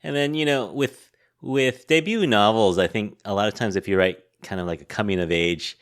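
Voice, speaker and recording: A man hosting a podcast, asking questions in a relaxed, friendly way and laughing often. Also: fairly low pitch, moderate pace, clean tone. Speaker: podcast host. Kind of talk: casual interview chat.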